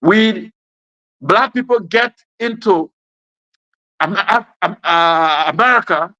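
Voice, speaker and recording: A man's voice: high-pitched, slow pace, bright, forceful tone, no room sound, lo-fi sound. A man with a West African accent gives an impassioned political speech, emphatic and repeating phrases for effect. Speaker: speaker giving an address.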